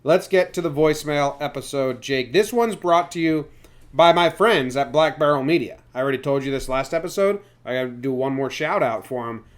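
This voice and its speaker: A man's voice: fairly high pitch, a little fast. A man hosting a sports podcast in a casual, chatty style. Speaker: podcast host.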